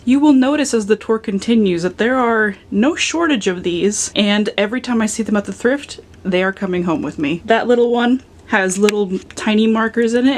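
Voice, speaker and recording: A woman's voice: medium pitch, a little hiss or hum. Playful young woman touring her room. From Philadelphia, USA. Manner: playful, affectionate, dry jokes about her toys. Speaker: collector giving a room tour. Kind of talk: casual home tour narration. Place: home sewing room and office, indoors.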